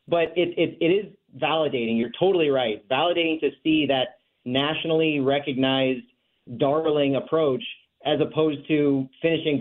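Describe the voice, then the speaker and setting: Over a narrow, phone-like connection, a man talks as a guest on a sports show. His voice is a little higher than average, and he gives conversational analysis in the manner of a podcaster. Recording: tinny phone-like line.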